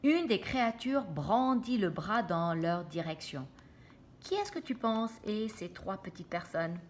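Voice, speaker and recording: A woman reads a picture story to children at an unhurried pace. Her intonation is lively and dramatic, and she pauses for simple explanatory asides to her young listeners. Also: mid-range voice, a little hiss or hum. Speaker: teacher reading aloud to children.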